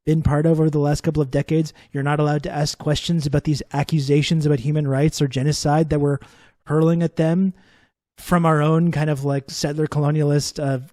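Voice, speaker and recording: A young male host asks questions casually, pausing and filling gaps with "like." His voice is somewhat high for a man, with a flat, even delivery and a mellow tone, heard dry and close. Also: no room sound. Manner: casual, halting, many fillers like "like" and "you know". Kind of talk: political interview.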